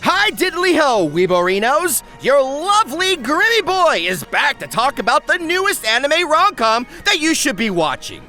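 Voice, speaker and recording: A man hosting an anime review with energetic, scripted comedic narration, delivered in a hammy, exclamatory manner. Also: very high voice, normal speed, hardly any pauses, faint music behind. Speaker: YouTube anime reviewer and host.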